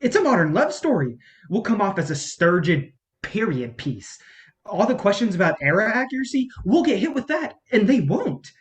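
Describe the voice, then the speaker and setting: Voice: mid-range voice, big pitch swings, moderate pace, close to an everyday voice. An actor in a staged play reading playing an exasperated, embittered film campaign strategist, profane and sarcastic in manner. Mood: agitated, despairing.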